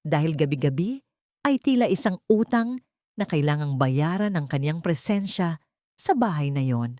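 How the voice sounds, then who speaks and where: A woman with a slightly low voice narrates a melodramatic audio story. Her delivery is scripted and dramatic, and the sound is dry, with little room in it. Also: expressive, normal speed, dark tone, no echo.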